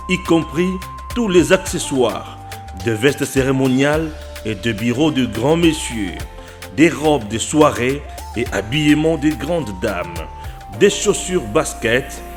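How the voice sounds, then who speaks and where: A man reads a shop advertisement in a formal, promotional way. His voice sits a little high. Loud backing music runs under the whole read. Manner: formal, promotional address to listeners. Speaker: radio ad announcer.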